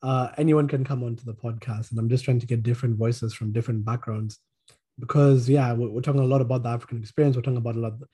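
A man hosting a podcast panel and calmly introducing his guests one by one. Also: medium pitch, breathy edge. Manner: measured, introduces guests politely. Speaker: podcast host.

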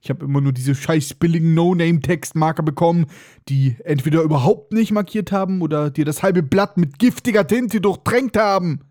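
A man's voice: on the high side, fairly quick, a little mellow, no echo, clean studio sound. A man hosting a comedic book-recap podcast, sarcastic and irreverent, his nose stuffed up from a cold. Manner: sarcastic, irreverent, mocking asides. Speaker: podcast host.

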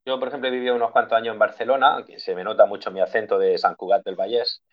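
A man's voice: fairly low pitch, racing. A man from Spain, a guitarist in a punk band, chatting casually and swearing freely in an interview. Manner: relaxed, swears freely, jokey. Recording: dull lo-fi recording.

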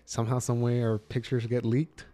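A young man co-hosting a podcast in casual chat, with a slightly deep, soothing voice, often stumbling and repeating words. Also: flat delivery, moderate pace, mellow. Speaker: podcast co-host.

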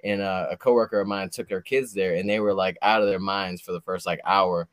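A man's voice: deep voice, fairly even intonation, brisk, no echo, clean studio sound. A man in his thirties hosting an interview, chatting casually and rambling with lots of "like" and "you know". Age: 30s. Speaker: podcast host.

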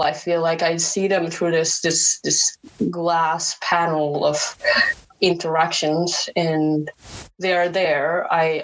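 Slow, hesitant woman. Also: low voice, poor audio. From Indonesia. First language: Indonesian. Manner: hesitant, many fillers and restarts, laughs often. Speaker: podcast guest. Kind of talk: personal interview talk.

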